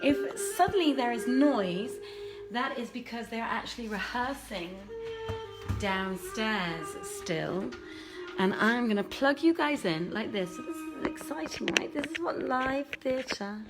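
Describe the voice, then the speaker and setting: A woman chatting casually to live-stream viewers, halting and thinking aloud as she busies herself. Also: fairly high pitch, animated, echoey room, music in the background. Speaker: theatre performer hosting a livestream reading. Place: inside a theatre building, moving between rooms. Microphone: phone.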